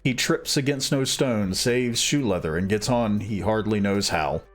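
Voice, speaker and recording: A simple, cheerful young servant from an old fairy tale, voiced by a man reading aloud. The voice sits low and easygoing, like a storybook read at bedtime, with faint music underneath. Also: measured pace.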